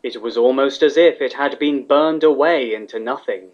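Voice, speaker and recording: A man's voice: medium pitch, moderate pace. Man narrating a story, dull lo-fi sound. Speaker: narrator of a fan fiction story.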